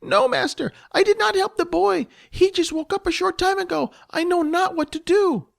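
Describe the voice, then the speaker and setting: A man's voice: extremely high pitch, lively intonation, normal speed, vocal fry, pitched above the performer's own voice, full character voice. A man voicing a timid, fearful little female goblin servant who pleads in formal, old-fashioned speech. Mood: pleading. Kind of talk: audiobook character voice.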